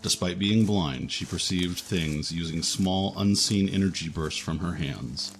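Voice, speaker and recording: Deep-voiced man narrating fantasy fiction. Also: a little slow. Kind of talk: scripted fantasy story narration.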